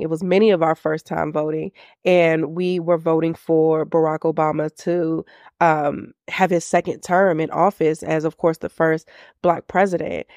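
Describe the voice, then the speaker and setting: A woman's voice: low-pitched, a little mellow, dry recording, clean studio sound. A woman in her thirties hosting a faith-based business podcast, sounding congested and nasal from a cold, speaking earnestly and reflectively. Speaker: podcast host.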